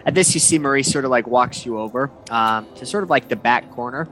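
A man's voice: mid-range voice, brisk, a bit bright, slight background noise, low-quality recording. A man running a tabletop game as game master, narrating loosely with many fillers.

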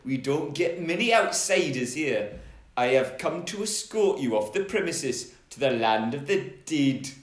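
A man's voice: on the high side, expressive, a little slow, echoey room. A man voicing a velociraptor gang boss with a Geordie accent, speaking with menacing mock politeness.

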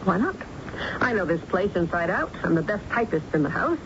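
A woman's voice: on the low side, big pitch swings, a little fast. An actress with an American accent playing a hard-bitten, ambitious working mother and deserted wife in an old-time radio drama. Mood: hard, determined. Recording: dull, very noisy old radio broadcast.

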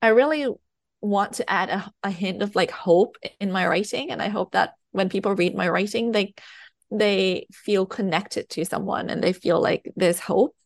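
A woman's voice: medium pitch, normal speed, no echo. A young woman from Thailand, speaking English as her second language, chatting hesitantly as a guest novelist with many fillers and laughs. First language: Thai. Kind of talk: casual interview chat.

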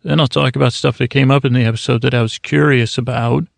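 A man hosting a bedtime podcast, talking in a meandering, halting way full of fillers and self-corrections, kept deliberately slow and mild so listeners can drift off. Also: medium pitch, fairly even intonation, vocal fry, dry recording. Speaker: podcast host. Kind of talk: rambling bedtime podcast intro.

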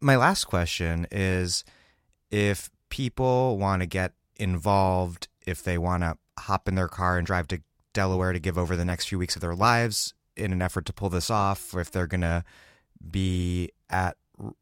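A man's voice: sits low, fairly even intonation, normal speed, smooth, no echo, studio quality. A man speaking as the host and interviewer of a political podcast. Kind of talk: podcast interview and scripted hosting.

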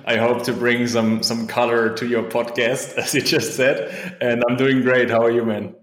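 A man's voice: mid-range voice, flat delivery, normal speed, fairly bright tone. Upbeat man in an echoey room. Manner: upbeat, chatty, frequent "right?" and "you know". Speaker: performance coach. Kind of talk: casual interview chat. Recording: roomy echo.

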